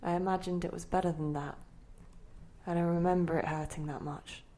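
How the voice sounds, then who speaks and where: A woman's voice: lowish voice, steady tone, a little fast, warm tone, close to an everyday voice. A woman playing a bewildered, insistent inner voice. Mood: scornful, probing. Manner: questioning, fretful, insistent. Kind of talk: audio play monologue and dialogue.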